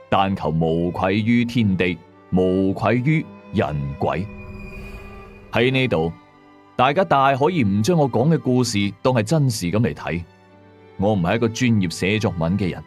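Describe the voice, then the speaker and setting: A man narrating a scripted first-person horror story in a measured, dramatic way, drawing out the ends of some phrases. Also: fairly low pitch, a little mellow. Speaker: storyteller of a radio ghost-story series.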